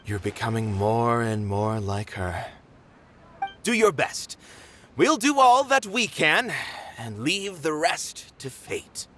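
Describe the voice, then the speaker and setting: A man voicing an earnest, dutiful theater manager in a video game, speaking in a measured, formal way. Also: medium pitch, very animated, pushed and bright, slight background noise, full character voice. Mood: admiring, solemn. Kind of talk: dramatic game dialogue.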